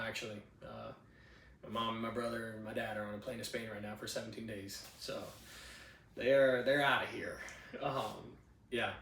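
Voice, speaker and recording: A man's voice: mid-range voice, reverberant, distant mic, slight background noise. A man in his twenties, born in Cuba, chatting casually on a home podcast with a handheld mic in a spare bedroom. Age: 20s. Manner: laid-back, chatty, frequent "you know what I'm saying". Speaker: podcast host. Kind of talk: casual personal storytelling.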